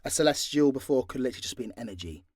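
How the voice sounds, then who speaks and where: A man's voice: mid-range voice, animated, very fast, darkish tone, breathy edge, no echo, studio quality. A British man answering fan questions in a casual, unscripted way, blunt and joking, with plenty of swearing. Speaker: voice actor and content creator answering fan questions.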